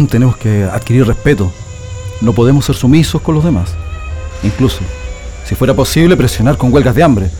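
A man's voice: mid-range voice, expressive, moderate pace, mellow, close to an everyday voice. An actor with a Chilean accent playing a defiant male political prisoner in a radio drama, earnest and emphatic. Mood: resolute, confiding. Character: defiant male political prisoner under a dictatorship, grieving and organizing resistance. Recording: music bed under the voice.

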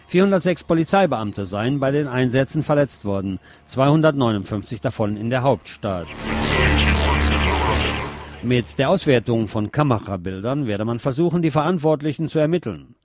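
A man's voice: mid-range voice, a little mellow. A man presenting a radio news segment, reading reports and press statements from a script.

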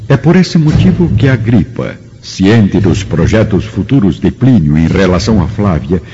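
A man's voice: low voice, mellow. A man with a Brazilian accent giving dramatic scripted narration for a radio drama. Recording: muffled radio production with music.

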